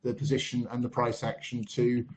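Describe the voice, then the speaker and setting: A man, losing his voice a little, talking through trading charts in a measured way with frequent pauses and ums. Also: medium pitch, monotone, darkish tone, a little hiss or hum. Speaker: market analyst. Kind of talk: screen-share chart commentary. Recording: slightly dull lo-fi voice-over.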